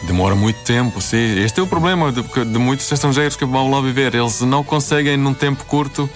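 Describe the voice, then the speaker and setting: A middle-aged man from Finland, a Swedish speaker, answering haltingly as an interview guest in casual conversation. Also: medium pitch, soft background music. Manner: halting, searching for words in a second language.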